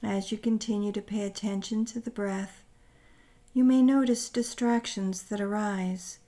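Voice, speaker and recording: A woman narrating a scripted guided meditation in a soothing, gentle, deliberate manner with pauses. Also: medium pitch, steady tone.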